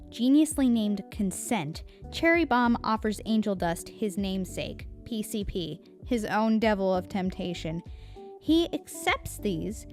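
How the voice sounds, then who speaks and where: A woman reads a scripted recap narration in a playful, wry manner. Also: mid-range voice. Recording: music bed under the voice. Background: background music.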